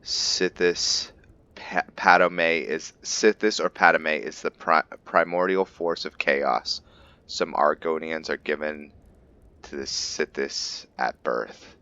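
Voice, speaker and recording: A man's voice: extremely low pitch, fairly even intonation, slow pace, pushed and bright, clean tone, highs cut off. A man narrating his roleplay playthrough of a video game, casually thinking aloud and correcting himself as he goes. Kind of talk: casual let's-play commentary.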